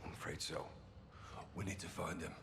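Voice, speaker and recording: Low, grave soldier. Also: male voice, fairly even intonation, normal speed, gravelly. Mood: cautious. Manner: terse, grave. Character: weary, steady soldier reporting to his commander. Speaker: video game character. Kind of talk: cinematic game acting. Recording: roomy game cutscene under loud music.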